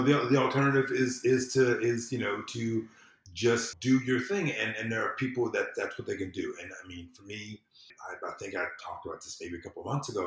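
Guy on a podcast, lowish voice, roomy echo, talks earnestly and repeats himself working thru a thought. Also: a bit bright. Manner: earnest, emphatic, thinks aloud with repetitions. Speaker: podcast guest, civic empowerment consultant. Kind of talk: casual conversational interview. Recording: echoey room.

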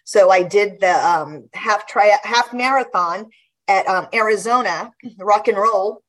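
A woman's voice: mid-range voice, measured pace, fairly bright tone, poor audio. A woman in her fifties from Guam, chatting casually as an interview guest, laughing often and restarting her sentences. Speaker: guest athlete.

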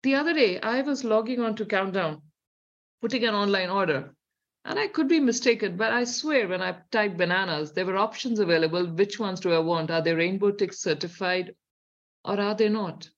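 A woman's voice: medium pitch, moderate pace, lo-fi sound. Sardonic woman with an Indian accent. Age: middle-aged. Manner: sardonic, questioning, reads from reports. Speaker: co-host. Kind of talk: opinionated talk show commentary.

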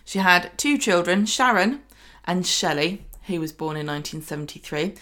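A woman hosting a podcast in casual chat, brisk and a little bossy with her co-host. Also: on the low side, expressive, fairly bright tone.

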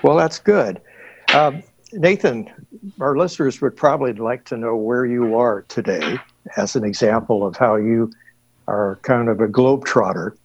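A man hosting an interview show, introducing his guest in a formal, deliberate way with many uhs. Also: mid-range voice, breathy edge. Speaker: radio show host. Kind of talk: hosted interview. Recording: dull, dry, lo-fi audio.

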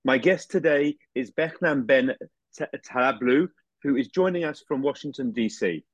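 A man's voice: mid-range voice, moderate pace, slightly rough, no room sound, lo-fi sound. Podcast host man, dry close sound. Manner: formal introductions with some restarts. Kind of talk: interview hosting.

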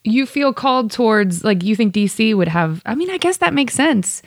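A woman's voice: a little high, animated, moderate pace, no room sound, studio quality. A woman hosting a casual interview, teasing her guest playfully and laughing easily. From United States. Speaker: interviewer host.

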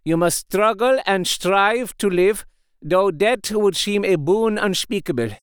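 A solemn, fatherly old professor voiced by a man speaks in slow, pleading, grave tones. The voice sits a little high and smooth, recorded close and dry. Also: no echo.

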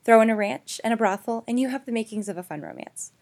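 A woman's voice: a little high, animated, fast, darkish tone. Woman hosting a podcast interview. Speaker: podcast host. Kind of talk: conversational interview.

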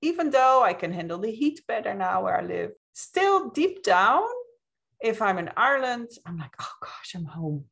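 A woman's voice: mid-range voice, sing-song, moderate pace, creaky, fairly bright tone. A woman from the Netherlands with a Dutch accent, speaking reflectively and explaining things as a guest in a conversational interview. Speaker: podcast guest, witchcraft author.

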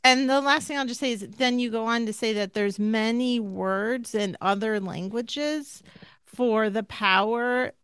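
Smooth-voiced woman podcast host. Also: fairly high pitch, a little slow, fairly bright tone, no echo, studio quality. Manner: earnest and emotional. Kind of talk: podcast interview, reading book passages aloud.

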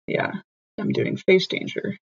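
A woman playing a tabletop game, thinking aloud as she weighs her options. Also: measured pace. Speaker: player. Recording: slightly dull lo-fi mic.

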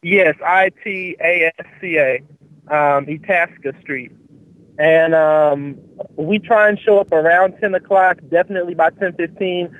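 Phone-call man, high pitch, halting speech, dry. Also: no echo. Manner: halting, repeats words. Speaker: church contact receiving a call. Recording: tinny phone line.

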